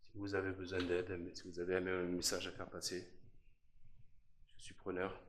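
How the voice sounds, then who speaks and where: A man with a slight cold and a nasal voice, exploring an abandoned château as a paranormal investigator. He is nervous, hesitant and full of fillers, and often drops to a soft voice as he calls out to spirits. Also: low voice, completely flat delivery, moderate pace. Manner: hesitant, many fillers, often speaks softly; nervous, says he is trembling. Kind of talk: walk-through vlog narration and calling out to spirits.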